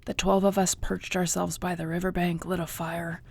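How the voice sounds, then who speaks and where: Female actor as battered orc girlfriend for fantasy audio roleplay: gravelly, husky, wry, weary, intimate and fond. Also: fairly low pitch, little pitch movement, normal speed. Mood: fond storytelling. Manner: sighing, conversational, wearily understated.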